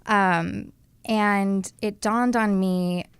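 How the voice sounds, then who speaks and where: Thirties American woman with creaky voice. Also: mid-range voice, fairly even intonation, very slow, no echo. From Atlanta, southern United States. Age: 30s. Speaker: interview guest, writer. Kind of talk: casual chat, telling own story.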